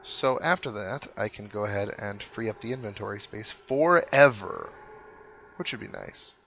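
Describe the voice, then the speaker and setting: A man's voice: on the low side, moderate pace, creaky, pushed and bright, slight background noise, low-quality recording. A man giving casual commentary over a video game playthrough, thinking aloud with mild exclamations. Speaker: gaming video host. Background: video game music.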